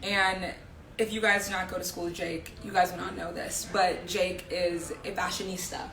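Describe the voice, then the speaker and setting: Low-voiced young woman host, echoey room. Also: level tone, moderate pace, crowd noise. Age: young adult. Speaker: live series host, interviewer. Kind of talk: casual interview chat. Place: indoor room, live social media stream. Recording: echoey room with people murmuring behind.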